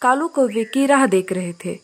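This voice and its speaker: A woman's voice: on the high side, moderate pace. A woman narrating a scripted story for children.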